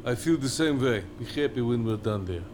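A man's voice: medium pitch, animated, brisk, noisy background, natural voice. A man playing a polite, guarded villain passing as a friendly visitor in an audio drama. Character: polite, guarded older male terrorist posing as visitor.

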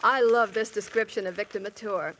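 A woman's voice: medium pitch, fairly even intonation, a little fast, a bit bright, rough recording. A woman Hollywood reporter in a scripted 1950s radio segment, chatty and enthusiastic.

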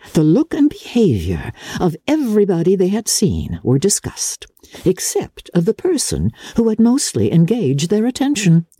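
A woman's voice: rumbling low, dark tone, slightly rough, dry recording, clean studio sound. A woman narrator giving a dramatic reading of classic literature.

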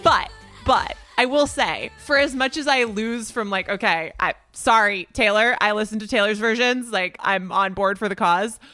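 A young woman talking as a podcast guest in a casual discussion, chatty and quick with lots of fillers. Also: medium pitch, pushed and bright, faint music behind.

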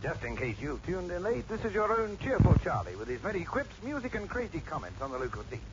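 A cheerful, wisecracking disc jockey in an old radio play. He chatters quickly with bouncy, wide inflection. The broadcast is dull and clearly noisy. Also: high voice, sing-song. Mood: jaunty. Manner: jaunty, chattering. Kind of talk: 1960s radio drama acting.

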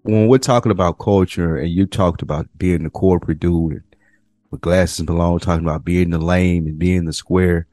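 A man co-hosting a podcast, chatting loosely in slang and working through his points with pauses and restarts. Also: deep voice, fairly even intonation, dark tone, no room sound. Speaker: podcast co-host. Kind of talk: casual chat.

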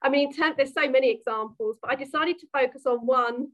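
A woman's voice: high voice, fast, fairly bright tone. A woman on a panel talking about leading teams, reflective and thinking aloud as she goes. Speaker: panelist, team leader. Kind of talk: panel discussion.

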